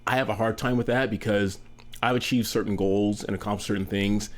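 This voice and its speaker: A man in his fifties from the United States speaks with a very low voice. He answers candidly and with good humor, taking his time over each point. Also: steady tone, fairly quick, a little hiss or hum. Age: 50s. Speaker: interviewee. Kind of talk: casual interview answers.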